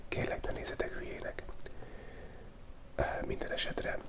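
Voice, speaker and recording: A man whispers a playful, rambling, hesitant ASMR chat as host of a drawing channel, while he has a cold.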